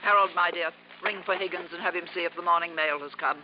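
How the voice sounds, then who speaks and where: An actress playing a domineering, possessive, wealthy old aunt in 1950s radio drama style, giving clipped, imperious orders. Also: female voice, on the low side, steady tone, fast talker, muffled recording, natural voice. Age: older. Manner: imperious, clipped commands, repeats herself. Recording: noisy, band-limited vintage radio recording.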